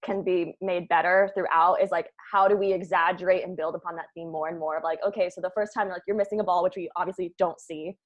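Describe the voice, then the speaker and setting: A woman's voice: lowish voice, steady tone, quick pace, few pauses, dull audio. A woman speaking casually and jokingly as a writer leading her cast's table read over a video call. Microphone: video call microphone.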